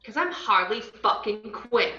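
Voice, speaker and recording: Brash young villainess, wildly swooping delivery, script read on a dull, roomy call. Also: female voice, medium pitch, lively intonation, measured pace, pushed and bright. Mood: cocky, defiant. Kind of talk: video-call table read of a film script. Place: indoors, on a video call. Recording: muffled, echoey video-call audio.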